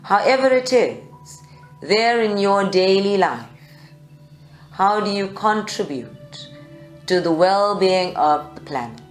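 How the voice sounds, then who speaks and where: A woman leads a guided meditation in short, measured phrases with long pauses. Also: mid-range voice, very animated. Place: live group session indoors at a creativity centre. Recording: echoey room, music behind. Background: meditation music.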